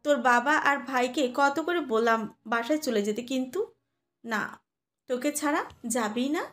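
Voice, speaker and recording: A woman's voice: high-pitched, unhurried, fairly bright tone. A woman playing an anxious, tender middle-aged mother in an audio story, speaking in a worried, fussing way. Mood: tender, explaining. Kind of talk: amateur audio story acting. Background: background music.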